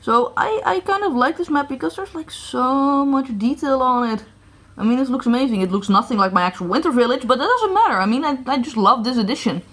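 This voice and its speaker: A woman's voice: high-pitched, lively intonation, fairly quick, fairly bright tone. A woman from the Netherlands chatting casually through a toy review, hesitant with many fillers and restarts. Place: indoor room at a tabletop set.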